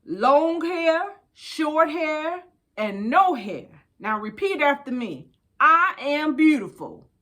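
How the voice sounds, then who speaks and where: Older woman, American accent, higher pitch, unhurried pace, folksy storytime chat, repeats phrases for emphasis. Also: very animated, fairly bright tone. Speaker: storytime host. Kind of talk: casual chat with book read-aloud.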